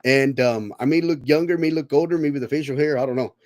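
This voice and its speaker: A man's voice: mid-range voice, fast talker, a little mellow, no room sound. Thirties male podcast host, loose rambling delivery with many restarts, dry recording. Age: 30s. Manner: rambling, repeats words often. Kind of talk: casual chat.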